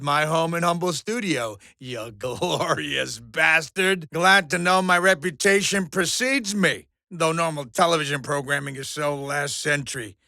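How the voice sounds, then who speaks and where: A man's voice: a little high, vocal fry, pushed and bright, no echo, studio quality, full character voice. A man voicing a pompous, sleazy alien media mogul in a video game, with hammy, theatrical showman delivery. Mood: grandiose welcome. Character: bombastic, sleazy alien showbiz mogul, male, crude and bullying.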